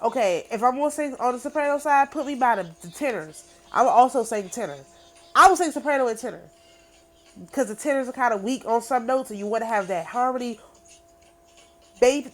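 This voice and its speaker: A middle-aged woman talking casually to camera in an emphatic, repetitive, boastful way. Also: high voice. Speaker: spiritual channel host. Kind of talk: casual spoken monologue.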